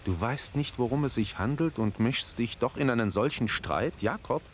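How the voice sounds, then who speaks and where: An actor playing a demanding, moralizing gentleman master in a German radio play, imperious and pompous. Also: medium pitch, animated, normal speed, slight background noise, lightly acted. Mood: scolding.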